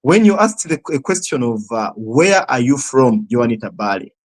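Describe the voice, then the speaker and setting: A man's voice: medium pitch, very animated, normal speed. A male host leads a panel interview with long, winding questions. He hesitates often, restarting his sentences as he talks. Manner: hesitant, many fillers and restarts, rambling questions.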